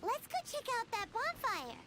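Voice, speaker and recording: A woman voicing a small, excitable, curious childlike creature in a video game, with a squeaky, chirpy voice. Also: moderate pace, exaggerated voice. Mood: excited, eager. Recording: game audio with loud music. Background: game music.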